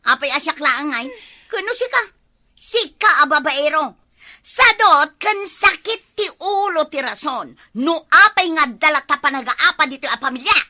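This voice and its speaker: A woman's voice: high-pitched, lively intonation, moderate pace, sharp tone, no echo, studio quality, heavily acted. A woman playing a furious, jealous wife in a comic melodramatic radio drama, scolding and wailing. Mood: sulky. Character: furious jealous wife, shrill, quarrelsome and tearful.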